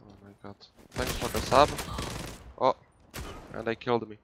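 A man's voice: medium pitch, fairly even intonation, normal speed, very noisy. Polish young man streaming a shooter game. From Poland. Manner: casual, switches between English and Polish, many fillers. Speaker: gaming streamer. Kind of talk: live gameplay commentary.

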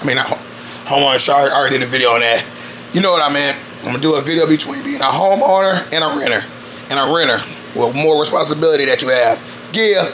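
A man in his thirties, a little hoarse, rambling loosely and slangily in a home-cooking vlog recorded on a handheld phone in his kitchen. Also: a little high, fairly bright tone, slight background noise, low-quality recording. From Evansville, Indiana, US. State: a bit hoarse. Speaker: cooking vlogger. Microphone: phone held at arm's length.

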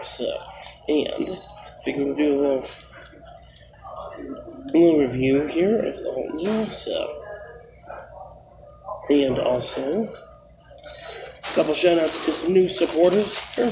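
A man's voice: fairly high pitch, animated, measured pace, creaky, long pauses, noisy background. Man with a cold, nasal and rambling. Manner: rambling, many fillers and restarts. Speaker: vlogger. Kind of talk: casual food review vlog. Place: indoors, small room. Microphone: phone camera close up.

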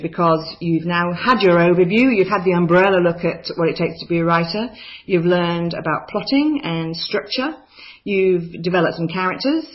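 A woman from New Zealand tutoring a children's writing course, talking enthusiastically and chattily with frequent ums. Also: on the low side. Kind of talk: course overview narration over slides. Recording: slightly dull lo-fi voice-over.

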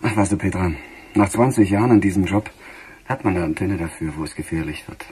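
An actor playing a weary, wry middle-aged police detective in a radio crime play. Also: male voice, deep voice, brisk, darkish tone, a little hiss or hum, close to an everyday voice. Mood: musing, uneasy. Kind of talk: German radio drama dialogue.

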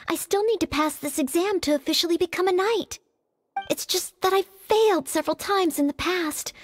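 A woman's voice: high voice, no room sound, lightly acted. A woman voicing an earnest, anxious young maid who dreams of knighthood in a video game, speaking politely and hesitantly. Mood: hesitant, modest. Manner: polite, hesitant, self-doubting.

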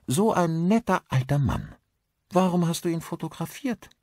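A man voicing a brisk, coolly polite wife in an audiobook. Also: on the high side, lively intonation, a little mellow, no echo, clean studio sound, voice pushed up. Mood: politely indifferent.

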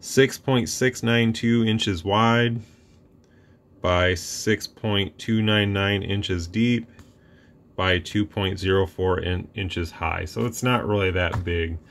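A man casually explaining a radio on his workbench, relaxed and rambling with fillers and restarts. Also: lowish voice, takes it slowly. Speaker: radio reviewer. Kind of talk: casual product demo. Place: workbench room with radio gear.

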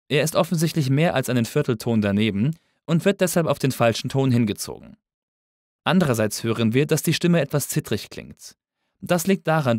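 A man narrating a scripted tutorial as an instructor, explaining things clearly and methodically. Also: mid-range voice, a little mellow, no echo, studio quality. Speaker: audio engineering instructor.

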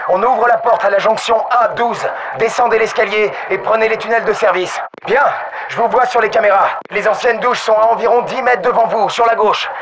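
Terse, commanding special forces soldier. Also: male voice, high voice, steady tone, normal speed, background noise. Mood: focused, brisk. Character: gruff, focused male special forces soldier. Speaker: video game voice actor. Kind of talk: military game dialogue, radio callouts.

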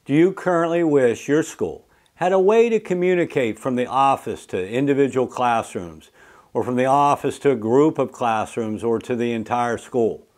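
A man's voice: mid-range voice, moderate pace, a little mellow. A man in his fifties giving a scripted product presentation to camera as a company presenter, recorded indoors in a studio. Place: indoor studio with plain backdrop.

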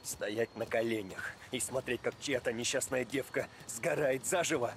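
A man's voice: mid-range voice, background noise, natural voice. An actor as a wary family-man mobster. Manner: measured, reluctant.

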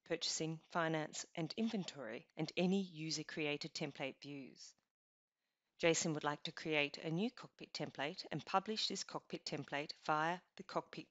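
A woman narrating a scripted software walkthrough, explaining each step in an even, instructional way. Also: low voice, no echo. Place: voice-over over screen recording. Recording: dull lo-fi voice-over.

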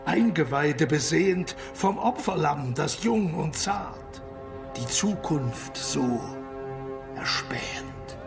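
A man's voice: a little high, lively intonation, slow pace, vocal fry, heavily acted. An actor playing a solemn man reciting heroic verse in a declamatory way for a radio drama. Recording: loud music behind the voice.

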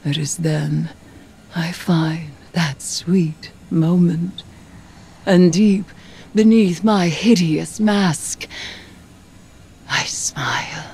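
An actress voicing a brooding, vengeful occult sorceress in a video game, solemn, formal and defiant. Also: female voice, on the low side, fairly even intonation, very slow, pauses often, a little mellow, slight background noise. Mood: dark, ominous. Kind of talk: theatrical video game dialogue. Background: music.

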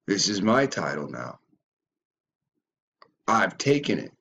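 A man's voice: sits low, very animated, takes it slowly, fairly bright tone. A man giving a ranting reaction commentary on a video, stumbling and repeating words as he goes.

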